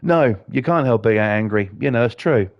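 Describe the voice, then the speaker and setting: A middle-aged British man chatting and ranting with lots of swearing while he plays a game and talks to viewers, his voice a bit croaky. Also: mid-range voice, animated, moderate pace, highs cut off. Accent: British English. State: slightly croaky. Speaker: gaming livestreamer. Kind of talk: casual chat while playing a game.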